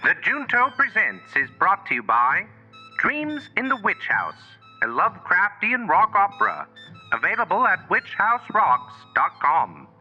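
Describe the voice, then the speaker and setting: An actor playing a formal, theatrical old-time radio announcer, reading scripted credits with a showy manner and a vintage radio sound. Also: male voice, high voice, huge pitch swings, a little slow, dull audio, faint music behind, heavily acted. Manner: formal, showy, crisp delivery.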